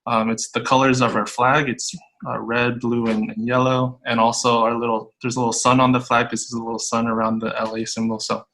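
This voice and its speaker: Bright-voiced man with a flat delivery. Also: medium pitch, brisk, poor audio. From United States. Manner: friendly, relaxed, with fillers and restarts. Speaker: school tech support guest. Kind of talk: casual interview answers.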